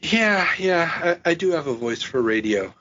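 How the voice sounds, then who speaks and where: A man hosting a podcast in casual chat, joking about himself with quick asides. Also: a little high, big pitch swings, noisy background. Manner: chatty, self-mocking, quick asides. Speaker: podcast host. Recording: slightly dull lo-fi podcast audio.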